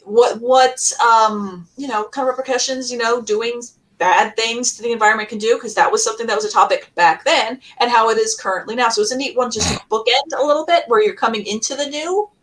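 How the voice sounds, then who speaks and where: A woman's voice: on the high side, a little fast, few pauses, fairly bright tone. A woman hosting a casual fan panel, talking in a chatty, rambling way. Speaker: panel host.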